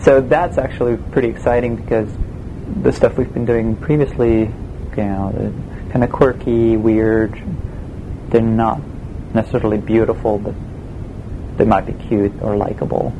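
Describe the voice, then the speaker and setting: A man with a Swiss German accent, a game production designer answering interview questions in a relaxed, hesitant way with many fillers. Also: lowish voice, moderate pace, dull audio, background noise. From Switzerland.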